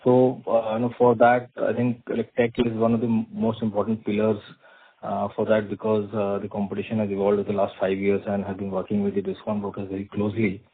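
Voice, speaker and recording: Male analyst, Indian accent, low husky voice with flat intonation, politely putting halting questions over a phone line. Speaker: financial analyst asking questions. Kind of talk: earnings call questioning. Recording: muffled, narrow phone line.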